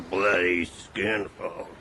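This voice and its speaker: Gravelly, slow drunken sailor. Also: male voice, sits low, bright, forceful tone, a little hiss or hum, full character voice. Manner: slurred, rambling, self-amused drunk talk. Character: drunken sailor muttering happily to himself. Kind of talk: radio drama acting.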